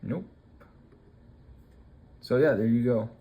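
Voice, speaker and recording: A man's voice: mid-range voice, slow, muffled recording. A man from Alaska hosting a stream, commenting casually and offhandedly as he browses posts. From Alaska, United States. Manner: loose, offhand, rambling. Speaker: streamer host. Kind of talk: casual commentary on screen content.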